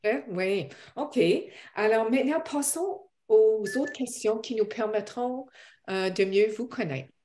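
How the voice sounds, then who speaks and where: A woman interviewing guests for a church broadcast, asking questions gently with hesitation fillers. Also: fairly high pitch, unhurried.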